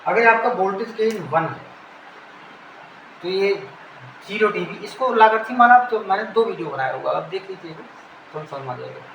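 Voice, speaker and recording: A high-voiced Indian man teaches in an informal lecture style. He explains step by step and often rephrases his points. The recording is echoey, with faint noise behind him. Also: lively intonation, normal speed, vocal fry, long pauses, fairly bright tone. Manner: didactic, repeats and rephrases phrases.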